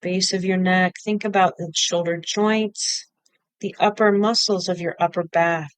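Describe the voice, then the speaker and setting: A woman leading a guided meditation, giving gentle step-by-step instructions in short phrases with pauses between them. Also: on the low side, fairly even intonation, smooth, no room sound, low-quality recording. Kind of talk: guided body-scan meditation.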